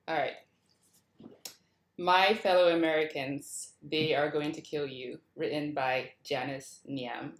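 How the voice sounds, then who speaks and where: A woman hosting a table read and reading stage directions from a script. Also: deep voice. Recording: roomy, echoey sound.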